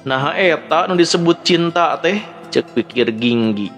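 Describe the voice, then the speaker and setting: A man's voice: a little high, lively intonation, a little slow, a bit bright. A man with a Sundanese accent narrating a dramatic story as a storyteller. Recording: background music under the voice. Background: music.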